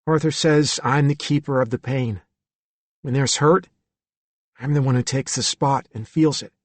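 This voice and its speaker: A man's voice: medium pitch, a little slow, no echo, pitched above the performer's own voice, close to an everyday voice. Storybook role: scared little boy played by a man, fragile, childlike, voice raised, dry close sound. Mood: pained, earnest. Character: frightened, fragile young boy keeping secrets.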